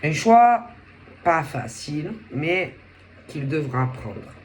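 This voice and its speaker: A woman with a head cold and a sore throat, giving a casual tarot reading in a halting way, often searching for words. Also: low voice, very animated, slight background noise, low-quality recording. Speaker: tarot reader.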